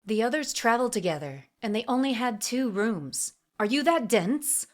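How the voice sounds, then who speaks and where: A woman voicing a menacing, commanding female assassin with a sharp, sneering delivery, in an audiobook. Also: mid-range voice, lively intonation, unhurried, lightly acted. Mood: scornful. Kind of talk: audiobook character voice.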